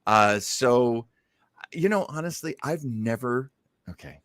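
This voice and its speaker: A man's voice: medium pitch, expressive, slow, fairly bright tone, no room sound. A man hosting a show in a casual, unscripted way, shifting between theatrical announcing and rambling chat. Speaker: show host.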